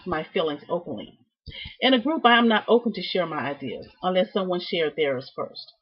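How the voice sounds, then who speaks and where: A woman giving a prepared student presentation, reading from notes with deliberate, emphatic phrasing and halting repeats. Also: on the low side, breathy edge. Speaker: student presenting a class project. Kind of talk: prepared speech, read from notes. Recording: dull, muffled lo-fi sound.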